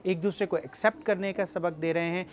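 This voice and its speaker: A woman's voice: lowish voice, fairly even intonation, a little hiss or hum. A woman with a Pakistani accent answering in an interview, repeating phrases and mixing in English words.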